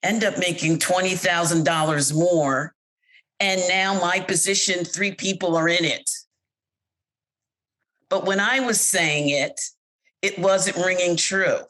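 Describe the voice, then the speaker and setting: A woman's voice: on the low side, slow pace, low-quality recording. Candid middle-aged woman telling her story. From United States. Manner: candid storyteller, animated when quoting others. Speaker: interviewee. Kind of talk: personal account in an interview.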